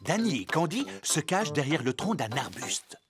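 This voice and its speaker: A gentle storybook narrator for a children's cartoon. She speaks low and calmly, yet her pitch moves with expression. Cartoon music plays clearly behind her. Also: normal speed.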